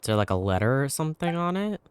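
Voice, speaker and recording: Animated man doing casual gaming commentary. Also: mid-range voice, quick pace, a little hiss or hum. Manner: slangy, offhand reactions. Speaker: gaming host. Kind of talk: casual let's-play commentary.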